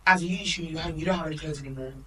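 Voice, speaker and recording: A woman's voice: low voice, far from the mic, crowd noise, poor audio. A woman of Nigerian heritage, co-hosting a podcast in emphatic, opinionated casual chat. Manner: opinionated, emphatic, quick asides. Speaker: podcast co-host.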